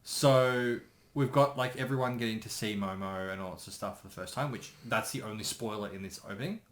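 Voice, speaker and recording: Man, casual anime reaction chat, halting delivery, thinking out loud, echoey room sound. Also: lowish voice. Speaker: co-host, anime specialist.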